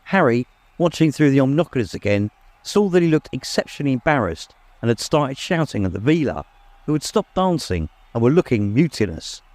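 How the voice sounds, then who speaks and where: A man's voice: medium pitch, big pitch swings, fairly quick, darkish tone, no room sound. A man narrating a story in a dramatic, scripted audiobook style.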